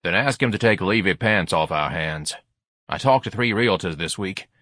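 A man's voice: sits low, lively intonation, moderate pace, fairly bright tone, no room sound. A man voicing an exasperated middle-aged husband in an audiobook, with a dry, grumbling manner.

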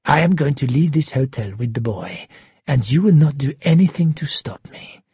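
Man voicing a husky, menacing gunman. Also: medium pitch, lively intonation, moderate pace, no room sound, clean studio sound. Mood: quiet threat. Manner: hard, clipped threats, often hushed. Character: menacing, angry male gunman, cold and commanding. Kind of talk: audiobook character voice.

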